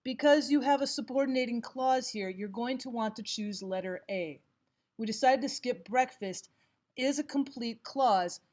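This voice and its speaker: A woman narrating a scripted lesson as a teacher, reading items aloud in a measured, instructional way. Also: a little high, a little mellow. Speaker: English grammar teacher.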